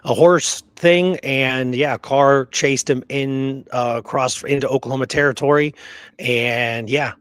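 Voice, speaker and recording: A man talks history as a show host in a loose, conversational way. His pitch is average for a male voice, and he wanders through his points, checking in as he goes. The sound is dry and close. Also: fairly even intonation, measured pace, fairly bright tone, smooth, no room sound. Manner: informal, rambling, checks in with "right?". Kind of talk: casual unscripted history discussion.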